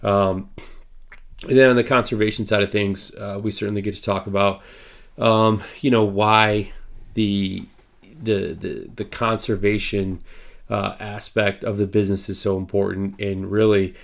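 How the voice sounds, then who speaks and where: A man hosting a podcast, congested and nasal from a cold, talking in a halting way with many fillers. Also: fairly low pitch, little pitch movement, gravelly. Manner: halting, many ums and uhs. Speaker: podcast host. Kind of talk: casual podcast intro and sponsor reads.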